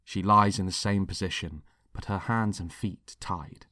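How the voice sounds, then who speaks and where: Low, slow male dramatic narrator. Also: bright, forceful tone, no room sound. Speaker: writer and narrator. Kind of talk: scripted dramatic narration in present tense.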